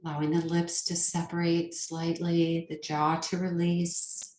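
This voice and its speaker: A woman leading a guided meditation as a teacher, soothing and unhurried, pausing between short phrases. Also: lowish voice, level tone, darkish tone, a little hiss or hum. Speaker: yoga nidra meditation teacher. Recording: roomy, echoing sound.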